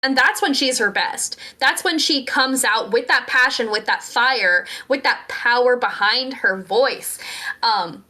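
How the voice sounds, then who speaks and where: A woman's voice: a little high, measured pace, pushed and bright. A young woman co-hosting a wrestling podcast, talking with emphatic, well-enunciated passion. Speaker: podcast co-host. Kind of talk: casual wrestling podcast chat.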